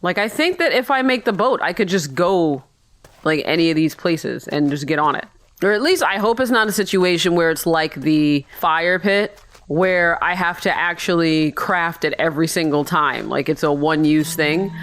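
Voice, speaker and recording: A woman giving casual, chatty let's-play commentary on a video game, thinking out loud as she goes. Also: deep voice, expressive, moderate pace. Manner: rambling, thinking aloud, chatty. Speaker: gamer narrating her own playthrough.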